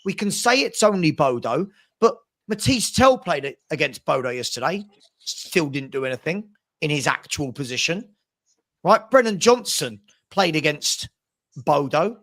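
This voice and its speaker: A man's voice: fairly high pitch, lively intonation, moderate pace, a bit bright. A man co-hosting a football fan show, arguing his point in a heated casual debate, repeating himself to drive it home. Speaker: co-host of a fan podcast.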